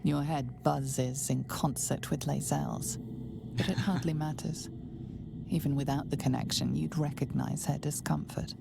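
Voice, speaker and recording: A woman performing as the storyteller of a fantasy video game, narrating in a measured, knowing manner. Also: low voice, steady tone, gravelly, over music, full character voice. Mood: grave, knowing. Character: grave female storyteller narrating the player's inner thoughts. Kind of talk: scripted second-person game narration.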